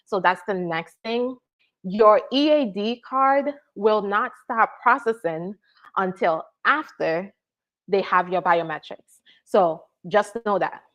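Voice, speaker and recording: A woman from Nigeria, working as an immigration attorney, answers viewer questions in a relaxed live Q&A and keeps checking in with "right?" and "all right?". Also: medium pitch, muffled recording. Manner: explanatory, frequent "right?" and "all right?" check-ins.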